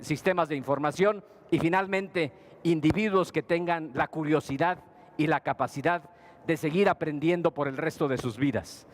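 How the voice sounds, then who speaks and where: Man, slightly high voice, formal panel speech, measured and steady. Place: conference hall panel.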